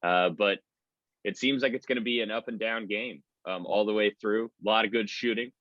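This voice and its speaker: Low-voiced man talking sports, dull audio. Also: steady tone, a little fast, no echo. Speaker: sports radio play-by-play announcer. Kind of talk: conversational sports analysis in a podcast interview.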